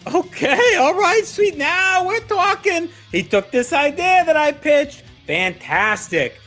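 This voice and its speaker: A man's voice: extremely high pitch, very animated, slow, bright, forceful tone, heavily acted. A man playing an exasperated wrestling crew member in an audio play, grumbling sarcastically about wanting credit. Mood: sarcastic grumbling. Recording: faint music behind the voice.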